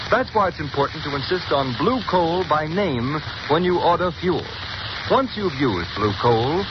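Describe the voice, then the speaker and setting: A man's voice: a little high, muffled recording. A man reading a commercial as a radio announcer in an earnest, persuasive 1930s style. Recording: scratchy 1930s radio broadcast recording.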